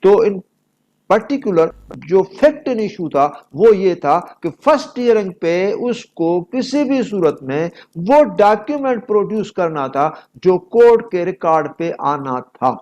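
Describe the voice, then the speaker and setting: A male lecturer with a Pakistani accent speaks in a fairly high voice at a very slow pace. He explains step by step in a didactic, emphatic way, moving between reading aloud and explaining, and repeats important phrases. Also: big pitch swings, darkish tone. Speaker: law lecturer.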